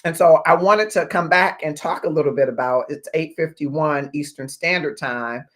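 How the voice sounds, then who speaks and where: A man in his fifties hosting a motivational livestream as a life coach, speaking in deliberate, emphatic phrases with pauses. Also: fairly high pitch, fairly bright tone. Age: 50s. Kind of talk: motivational livestream talk to viewers.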